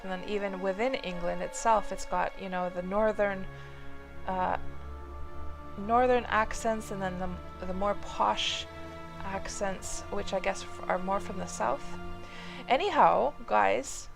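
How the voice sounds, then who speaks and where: A woman's voice: medium pitch, gravelly. Chatty woman streamer. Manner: rambling, chatty, many fillers, answers chat viewers. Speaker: game livestreamer. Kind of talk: casual live-stream chat while playing. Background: music playing under the voice.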